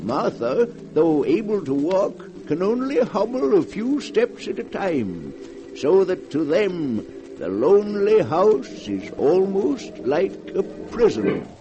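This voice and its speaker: A man's voice: high-pitched, big pitch swings, takes it slowly, heavily acted. A man narrating a 1940s radio drama in a theatrical style. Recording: muffled vintage radio recording, loud music.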